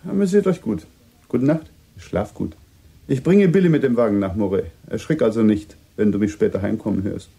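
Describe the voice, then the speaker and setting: A man's voice: lowish voice, animated, fairly quick, vocal fry, mellow, husky, exaggerated voice. An actor playing a composed, wary and urbane married man in a radio play, casual yet probing. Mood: courteous.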